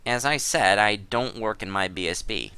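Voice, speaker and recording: A man's voice: lowish voice, normal speed, pushed and bright, clear, resonant tone, no room sound. A man doing a dramatic reading as a defensive, aggrieved gamer, whiny and insistent about his innocence. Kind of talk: dramatic reading of a gaming chat log.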